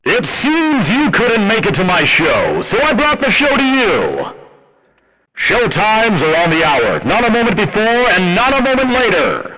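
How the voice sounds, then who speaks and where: A man's voice: high-pitched, huge pitch swings, unhurried, pushed and bright, long reverb tail, full character voice, low-quality recording. A man voicing a theatrical, sinister showman animatronic in a game, speaking in a stagey way. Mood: grandly sinister.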